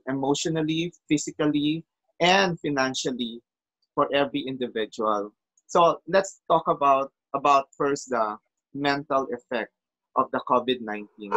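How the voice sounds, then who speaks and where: A male host asks his questions carefully, recapping what the guest said with a few hesitant fillers. His voice is mid-pitched and sounds close and dry. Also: a bit bright, no room sound, poor audio. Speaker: interview host. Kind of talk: interview questions.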